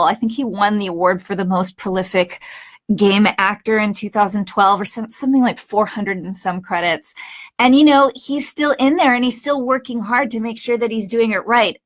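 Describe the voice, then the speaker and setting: A woman's voice: medium pitch, fairly bright tone. A woman coach giving an informal online class presentation, talking in a chatty, emphatic and enthusiastic way.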